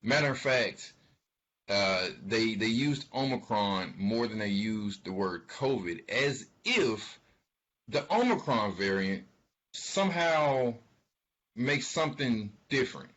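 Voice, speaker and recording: A man giving informal financial commentary, speaking haltingly and emphatically, repeating words for stress. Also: lowish voice, big pitch swings, a bit bright. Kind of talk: informal spoken commentary on an earnings call. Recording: dull, lo-fi sound.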